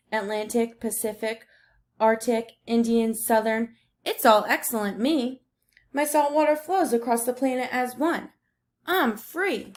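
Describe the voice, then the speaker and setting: A woman's voice: on the high side, darkish tone, lightly acted. A woman voicing the ocean as a laid-back, boastful surfer dude in a picture book read aloud. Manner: slangy, breezy surfer talk.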